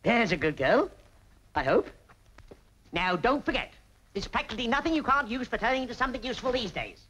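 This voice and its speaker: A bossy, patriotic British busybody in a 1940s film. She hectors briskly and emphatically, her pitch swinging about freely. The old soundtrack is slightly noisy. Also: very animated, fairly bright tone. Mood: cajoling, patriotic. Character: brisk bossy patriotic woman salvage campaigner, scolding nicely.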